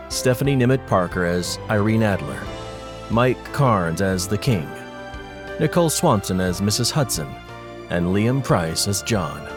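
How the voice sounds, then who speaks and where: Low-voiced man reading credits over music. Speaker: credits announcer. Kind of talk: scripted credits narration. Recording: music behind the voice.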